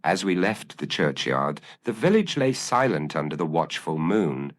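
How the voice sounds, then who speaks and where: A man narrating a gothic detective story in a measured, formal manner. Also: extremely low pitch, big pitch swings, no echo, clean studio sound. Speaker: audiobook narrator.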